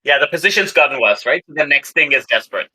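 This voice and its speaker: A man talking casually as a player in a tabletop game, in a thoughtful, deliberate manner. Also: on the high side, expressive, pushed and bright, low-quality recording.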